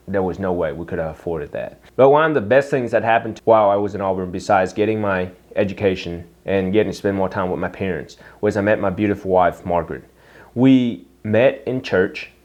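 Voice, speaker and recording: A man's voice: lowish voice, darkish tone. A young man from Vietnam with a mixed Vietnamese, Canadian and Southern American accent, earnestly telling his own story as a vlogger. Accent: Vietnamese with Canadian and Southern US English. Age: young adult. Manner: earnest, reflective, with emphatic slow stresses. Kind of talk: personal storytelling to camera.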